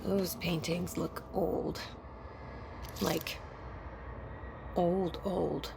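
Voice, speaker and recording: Deadpan woman, gravelly and slow. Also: fairly low pitch, background noise, heavily acted. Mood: musing, concerned. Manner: deadpan, understated, musing to herself. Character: wry, guarded young woman exploring an eerie underground. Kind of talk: video game voice acting, inner monologue. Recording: loud music behind the voice.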